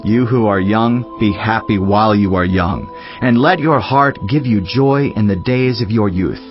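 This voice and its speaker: An actor voicing a solemn, wise teacher in a dramatized scripture reading, speaking in a measured, declamatory way. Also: male voice, fairly low pitch, close to an everyday voice. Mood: solemn, reflective. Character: solemn male Teacher, wise biblical sage reflecting on life. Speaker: scripture reader. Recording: dull lo-fi audio over music.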